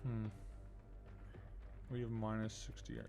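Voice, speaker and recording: Creaky-voiced man thinking aloud while gaming. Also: lowish voice, monotone, slow pace, over music. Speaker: game streamer. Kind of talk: casual live gameplay commentary, thinking aloud.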